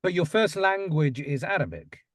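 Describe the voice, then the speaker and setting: A man's voice: on the high side, lively intonation, normal speed. A male interviewer asking short, probing questions.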